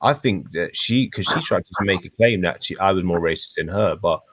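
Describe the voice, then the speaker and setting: Male, thirties, British. Low-pitched, insistent debater who stumbles and repeats himself, recorded dry. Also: no echo. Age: 30s. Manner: repetitive, halting, insistent, sweary slang. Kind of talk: heated argumentative debate.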